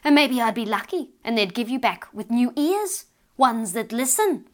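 A woman voicing a cross, weary mother who scolds her child in a sharp, stiff voice. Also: fairly high pitch, lively intonation, moderate pace, pitched above the performer's own voice, natural voice. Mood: scolding.

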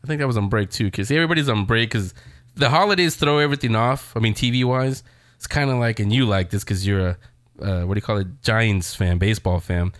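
Male host, lowish voice, dry close sound, casual rambling chat, conversational delivery. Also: a little fast, no echo. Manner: rambling, conversational, addresses the audience. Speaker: show host. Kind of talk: casual chat reviewing TV shows.

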